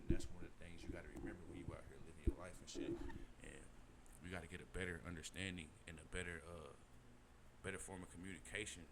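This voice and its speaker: A man giving casual reaction commentary on a podcast clip, lecturing in fast, slangy street talk. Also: sits low. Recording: noisy background.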